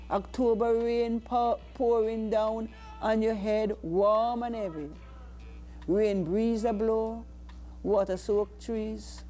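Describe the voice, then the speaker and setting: A woman with a Jamaican accent reciting spoken-word poetry in a deliberate, dramatic way. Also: fairly high pitch, warm tone. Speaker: poet.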